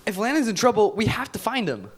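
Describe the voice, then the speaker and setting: An earnest, pushy young teen, acted by a performer, speaks with urgent insistence. The pitch swings widely in this live drama read. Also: big pitch swings, fast, slightly rough.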